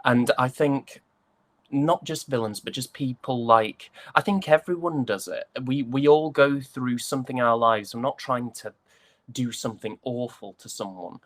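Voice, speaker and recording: A man from Yorkshire with a softened British accent, chatting reflectively and hesitantly as an interview guest. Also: mid-range voice, normal speed, no room sound. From Yorkshire, England. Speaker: interview guest, stage and voice actor. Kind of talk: casual interview chat.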